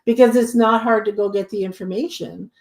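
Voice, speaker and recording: A woman guest who channels, explaining her ideas in a thoughtful, measured way. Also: mid-range voice, steady tone, darkish tone. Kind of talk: casual conversation. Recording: roomy echo.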